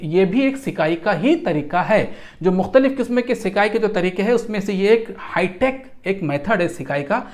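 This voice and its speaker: Instructor explaining, mid-pitched voice. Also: expressive, moderate pace. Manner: didactic, rephrases terms in Hindi and English, repeats phrases. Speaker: instructor in physiotherapy and Unani regimenal therapy. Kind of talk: explanatory medical lecture.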